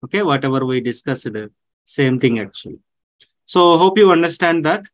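Man giving a step-by-step software tutorial. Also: fairly high pitch, expressive, normal speed, dry recording. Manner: step-by-step, repeats himself, many "okay" and "so" fillers. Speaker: online tech instructor. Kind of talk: screen-share tutorial walkthrough. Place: indoors at a desk.

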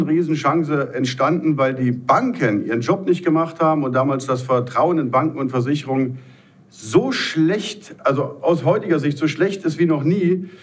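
A man in his forties from Germany, earnestly making a persuasive sales pitch on a panel. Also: breathy edge. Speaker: network marketer. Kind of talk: promotional panel talk. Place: indoor event room.

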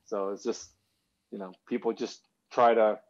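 A man's voice: fairly low pitch, level tone, unhurried. A man in his thirties chatting casually in a rambling, sweary way over a video call from home. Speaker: podcast co-host. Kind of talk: casual chat. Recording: slightly dull lo-fi call audio.

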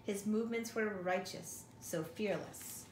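A woman's voice: medium pitch, unhurried. A middle-aged woman reading a picture book aloud to young children, expressively, like a teacher addressing her class. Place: living room at home, indoors. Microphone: camera or phone a short distance away. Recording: roomy home recording with faint noise.

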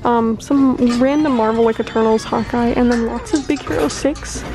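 A woman's voice: fairly high pitch, steady tone, normal speed, rough recording. A young woman filming herself walking through a store on a handheld phone, chatting casually and reading out prices as she gushes over the items. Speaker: vlogger touring an outlet store. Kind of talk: casual shopping walkthrough. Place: indoor retail store. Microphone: handheld phone camera. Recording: store with background music playing.

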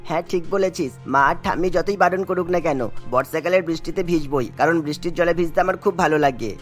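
Little cartoon boy, playful and headstrong, rapid high delivery, acted by a man. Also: faint music behind, lightly acted. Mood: stubborn. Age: child. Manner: insistent, excitable. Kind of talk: children's cartoon voice acting.

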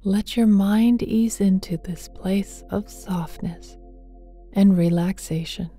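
Female meditation guide: slow, velvety and very mellow, gentle hushed delivery drifting toward breathy near-whisper, tender toward the listener, faint music underneath. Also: mid-range voice. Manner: tender, affectionate address to listener. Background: soft meditation music with singing bowls.

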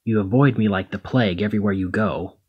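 A man playing a protective, conflicted demigod hero in a one-sided ASMR roleplay, speaking emotively and haltingly to the listener. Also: on the low side, breathy edge, dull audio. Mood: resigned, hurt. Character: brawny male demigod hero, protective, conflicted, exasperated lover.

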